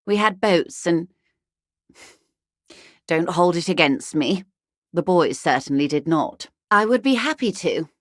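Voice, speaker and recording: A woman's voice: fairly low pitch, expressive, slow pace, long pauses, no echo, studio quality. An actress playing a wealthy, worldly older woman in a video game, articulate, arch and wryly condescending. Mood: amused, urbane. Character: wealthy, worldly older woman, urbane, wry, intellectually vain. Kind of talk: video game character dialogue.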